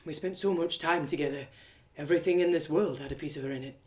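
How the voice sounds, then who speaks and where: A woman's voice: deep voice, fast, darkish tone, close to an everyday voice. A woman voicing a heartbroken, earnest young village man who confides in a hesitant, rustic way, for an audio drama. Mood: wistful. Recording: roomy echo of home live reading.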